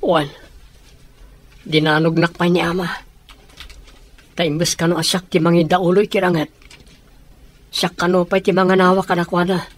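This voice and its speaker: An actor playing an earnest, peace-seeking son who pleads and reasons, in an Ilocano Filipino radio drama. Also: male voice, high voice, level tone, measured pace, pauses often. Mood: resigned, reasoning.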